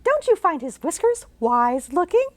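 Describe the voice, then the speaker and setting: A bossy, imaginative little girl from a picture book, put on by a woman reading aloud. Her voice rides high and bounces with lively swings of pitch. She says everything with total confidence, up close in a dry, dead room. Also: moderate pace, no room sound. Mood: proud. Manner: high, bright, decisive child voice.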